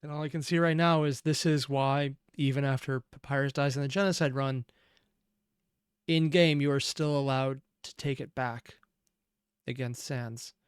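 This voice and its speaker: A man's voice: medium pitch, steady tone, smooth, no echo, studio quality. A man hosting a reaction video, giving earnest, analytical commentary in a reflective way. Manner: earnest, analytical, deliberate emphasis. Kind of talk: reflective commentary on a fan dub.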